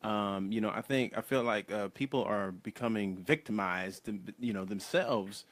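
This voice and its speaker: An American man with a fairly low voice hosts a casual discussion. He feels his way through his points informally and keeps checking for agreement. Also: normal speed, dry recording. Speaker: talk show host. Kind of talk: casual interview chat.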